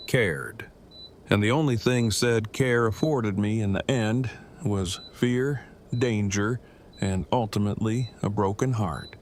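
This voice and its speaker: Guy acting an awkward wordy survivor, lowish stiff formal voice, audio drama, bit of background noise. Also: slow pace. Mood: bitter, guarded. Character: awkward, self-protective, verbose male survivor with stiff speech. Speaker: voice actor.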